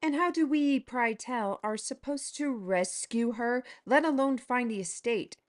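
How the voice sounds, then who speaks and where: A sharp-tongued young woman steward, voiced by a woman speaks briskly and bluntly in a mid-range voice. She is impatient to get to the point. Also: on the high side, natural voice.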